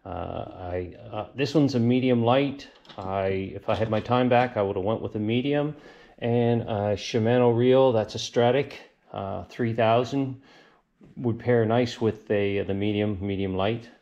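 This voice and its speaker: A man hosting a fishing vlog, talking casually in a folksy, unscripted, halting way. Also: fairly low pitch, gravelly, mellow, highs cut off. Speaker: fishing channel host. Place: garage, outdoor beach and shoreline, varied spots.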